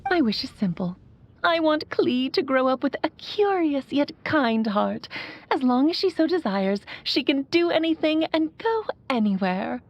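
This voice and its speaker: A woman's voice: high-pitched, sing-song, measured pace, highs cut off, full character voice. A woman playing a theatrical, teasing, doting sorceress mother in a video game. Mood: warm, sincere. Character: playful, theatrical, doting sorceress mother speaking through a phonograph. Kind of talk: animated video game dialogue. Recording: music behind the voice.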